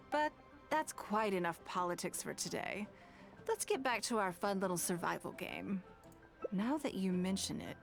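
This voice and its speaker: An actress playing a shrewd, composed noblewoman and political leader in a video game, speaking formally and deliberately. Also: mid-range voice. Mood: measured, confiding. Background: background music.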